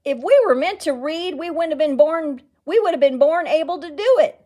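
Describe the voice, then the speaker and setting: A woman voicing a blustering, folksy small-town mayor in a storybook, loud and emphatic. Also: high-pitched, animated, moderate pace, voice pushed up. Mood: indignant.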